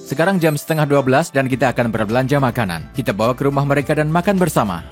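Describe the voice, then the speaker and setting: A man narrating a travel documentary vlog in a scripted voice-over, reading facts in an even, steady way. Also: medium pitch, rapid-fire, faint music behind.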